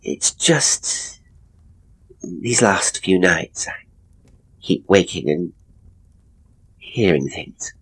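A man voicing an ailing, frightened married woman who speaks in a halting, hushed way in a horror story. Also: low voice, animated, slightly rough, heavily acted, low-quality recording. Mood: hushed, uneasy.

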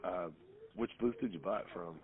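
A man's voice: sits low, a little fast. A middle-aged man giving casual advice over a landline phone, hesitant, puzzled and plain-spoken. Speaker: staffer taking a phone call. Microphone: landline telephone. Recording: tinny landline phone call.

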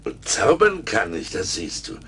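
An actor playing a menacing, domineering giant forest demon in a theatrical radio play. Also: male voice, lowish voice, wildly swooping pitch, a little slow, a bit bright, a little hiss or hum, heavily acted. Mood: smug, boastful. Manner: domineering, commanding. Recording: vintage radio play with music underneath.

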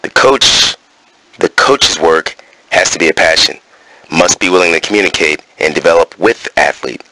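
A man's voice: low-pitched, moderate pace, pushed and bright, no room sound, rough recording. A man reading coaching points aloud in a wrestling gym, breaking off into casual summaries with fillers. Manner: alternates terse read-out points with casual asides full of fillers.

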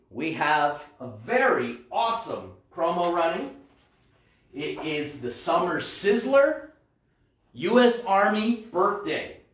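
A man's voice: a little high, a bit bright. An American man hosting a live shopping show in a casual, jokey, enthusiastic way. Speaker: host of a comics shopping show. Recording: roomy echo, distant microphone.

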